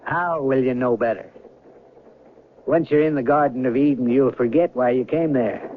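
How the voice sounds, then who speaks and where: An actor playing a brisk, matter-of-fact train conductor in 1970s radio drama, with an officious, questioning manner. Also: male voice, medium pitch, close to an everyday voice. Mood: probing. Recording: thin phone-like old radio recording, noisy.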